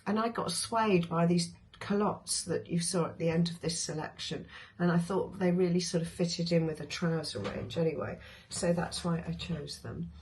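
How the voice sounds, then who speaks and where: A woman with a hoarse voice, chatting casually about her favourite sewing patterns, with many ums and restarts. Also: fairly low pitch, steady tone, normal speed, darkish tone, a little hiss or hum, poor audio. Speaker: sewing vlogger.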